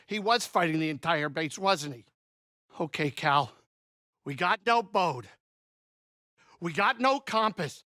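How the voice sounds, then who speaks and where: A man playing a middle-aged alien pilot and saloon owner in a video game, anxious but kindhearted, with expressive, folksy, wry grumbling. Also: on the high side, vocal fry, dry recording, studio quality, full character voice. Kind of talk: video game character acting.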